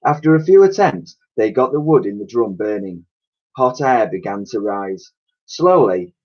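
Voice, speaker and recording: Male storybook reader, teacherly and expressive, husky mid-range voice, slightly muffled lo-fi sound. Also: lowish voice. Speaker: primary school teacher reading aloud. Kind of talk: expressive children's storybook narration.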